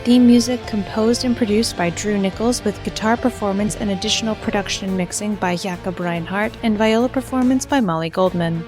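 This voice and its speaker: A woman's voice: medium pitch, darkish tone. A woman reading scripted credits as an announcer. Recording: music bed under voice. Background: music.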